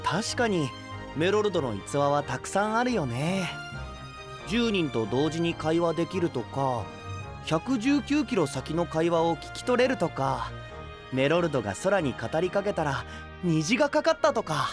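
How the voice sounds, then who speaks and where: A breezy young male knight in an anime-style audio drama. He is optimistic and casual, with animated intonation. Background music plays clearly beneath the voice. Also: fairly high pitch, a little slow. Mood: friendly. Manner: light, reassuring, casual. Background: prominent background music.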